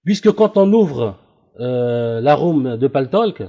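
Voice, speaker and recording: A man who hosts an online debate room, talking casually on a call and explaining things in a hesitant, halting way. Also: mid-range voice, animated, dark tone. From Italy. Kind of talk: casual phone conversation. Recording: dull lo-fi recorded call.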